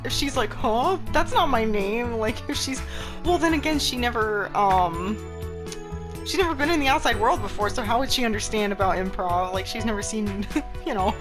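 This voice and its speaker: A woman's voice: a little high, fairly quick. Woman reading aloud over game music. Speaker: let's play host. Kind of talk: reading visual novel aloud with casual commentary.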